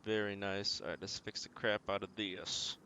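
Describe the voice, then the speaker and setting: A man's voice: fairly low pitch, steady tone, moderate pace, noisy background. A man giving casual gameplay commentary as he streams a survival game, muttering short, playfully quaint remarks to himself.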